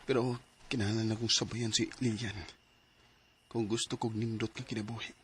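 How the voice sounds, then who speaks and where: A man's voice: fairly low pitch, level tone, a little fast, slight background noise, close to an everyday voice. An actor playing a hesitant, stammering young man from Cebu in a radio drama. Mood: brooding. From Cebu, Philippines. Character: young man, torn between love and money.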